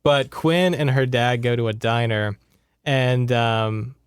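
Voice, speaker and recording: Smooth, dry-recorded man chatting casually. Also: mid-range voice, steady tone, slow, dry recording. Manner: rambling, conversational, sardonic asides. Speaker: podcast co-host. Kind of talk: casual book recap chat.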